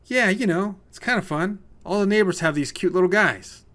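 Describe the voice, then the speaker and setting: A man plays an eager, folksy suburban husband from an audiobook tale. His pitch lifts and moves around a lot. He sounds like a jovial, teasing neighbor who loves to chat. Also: lively intonation, normal speed, a bit bright. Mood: eager, friendly. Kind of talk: audiobook character voice.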